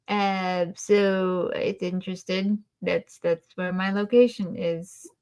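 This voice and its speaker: A woman co-hosting a casual review podcast in a deadpan, dryly sarcastic manner. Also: fairly low pitch, slow, clear, resonant tone. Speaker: podcast co-host.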